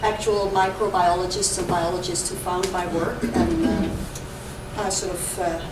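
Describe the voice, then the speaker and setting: A woman's voice: medium pitch, a little slow, loud music behind the voice. A woman with a Dutch accent, raised in the Caribbean, giving an artist talk with a hesitant, filler-heavy manner. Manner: hesitant, lots of ums and uhs. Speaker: visiting artist. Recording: echoey room from far off with noise.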